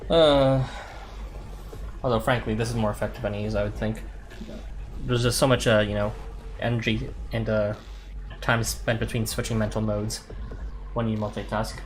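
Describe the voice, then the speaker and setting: A man with a hoarse voice chatting casually and thinking aloud while he plays a game. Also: lowish voice, level tone, moderate pace, long pauses, a little hiss or hum, rough recording. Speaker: game streamer. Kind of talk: casual chat while gaming. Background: music.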